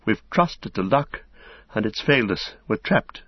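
A man's voice: lowish voice, animated, measured pace, husky, no echo, muffled recording, voice pushed up. A man voicing a weary, despairing male traveller in a fantasy storybook, with an anguished manner.

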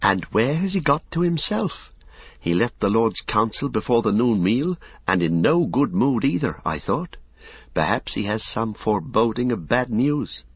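A man voicing an anxious, excitable young male hobbit in a higher, breathless, pleading voice, from an audiobook reading. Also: unhurried, breathy edge, dry recording, exaggerated voice. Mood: uneasy. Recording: dull lo-fi audiobook recording.

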